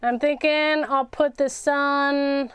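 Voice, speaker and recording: Woman, high voice, slow pace, casual craft livestream host, chatty, thinking out loud. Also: fairly even intonation, no room sound. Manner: chatty, self-narrating, repeats words. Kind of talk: casual live craft chat, thinking aloud.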